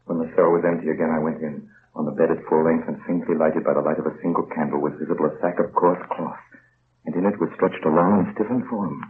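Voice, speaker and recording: An actor playing a grave prisoner who recounts his escape in intense, deliberate first-person radio narration. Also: a bass voice, fairly even intonation. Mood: grave, tense. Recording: muffled old radio recording, faint hiss.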